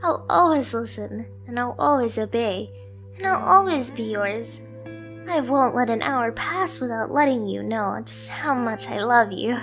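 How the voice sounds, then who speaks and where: A woman's voice: a little high, lively intonation, a little slow, a bit bright. A woman voicing a submissive, obsessively devoted stray cat girl in an anime ASMR roleplay, with a girlish, eager, self-deprecating manner. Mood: adoring, obsessive. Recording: music behind the voice. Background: music.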